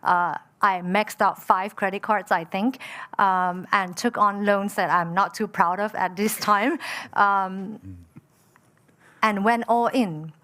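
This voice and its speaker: A woman's voice: mid-range voice, takes it slowly. Woman telling her founder story. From Malaysia. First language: Chinese. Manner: frequent fillers, lively and determined. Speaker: entrepreneur and panel speaker. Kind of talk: personal story told on a panel.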